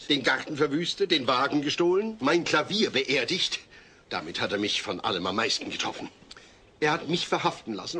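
A man's voice: on the high side, fairly bright tone, a little hiss or hum. An actor playing a fussy, exasperated middle-aged suburban father in a German comedy audio drama, stern and precise. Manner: stern, long-suffering, precise.

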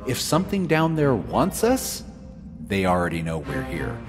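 A man's voice: fairly low pitch, huge pitch swings, normal speed. An actor playing a mischievous, confident male adventurer in a fantasy audio drama, teasing and casual. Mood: casual confidence. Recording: long hall reverb over music.

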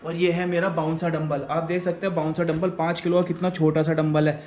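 A man in a gym equipment shop pitching his products and their prices. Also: flat delivery, brisk, a little mellow, reverberant. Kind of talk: sales pitch.

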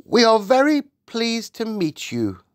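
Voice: medium pitch, big pitch swings, measured pace, no echo, studio quality, pitched above the performer's own voice. A storybook reader voicing a gracious, self-composed queen with a polite, formal manner.

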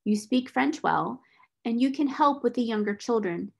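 A woman voicing a stern, domineering middle-aged schoolmistress with a sharp, scolding, imperious manner in a storybook reading. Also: on the high side, expressive, normal speed, vocal fry, muffled recording. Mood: curt, commanding.

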